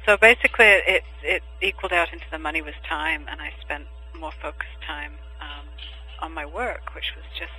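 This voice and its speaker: A man who is a poet, answering podcast interview questions in a thoughtful, hesitant way with many pauses and restarts. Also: fairly high pitch, steady tone, sharp tone, soft background music, low-quality recording. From England and Germany.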